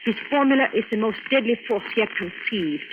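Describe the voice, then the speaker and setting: A young adventuress, acted by a woman in an old radio thriller. Her voice is high and earnest, with anxious, dramatic phrasing. It comes through a thin, noisy vintage recording. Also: lively intonation, a little fast, narrow, tinny audio, lightly acted. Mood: earnest, intent. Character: anxious young foreign adventuress from the Saigon underworld.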